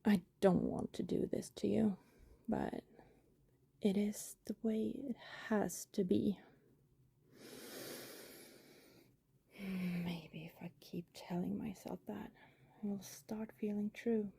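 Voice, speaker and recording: A woman playing a lonely, tender, guilt-ridden vampire neighbor in an intimate ASMR roleplay, speaking softly in a breathy whisper with pauses. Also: medium pitch, fairly even intonation, full character voice. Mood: regretful, tender. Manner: slow, hushed, intimate, with pauses.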